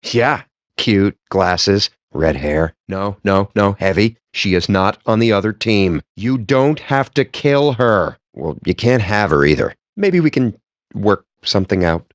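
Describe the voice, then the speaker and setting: A man's voice: on the low side, animated, measured pace, no room sound, clean studio sound. A man voicing a wry, pompous, foul-mouthed gamer geek in video game dialogue, with a sardonic, wordy and theatrical delivery. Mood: dry, evasive.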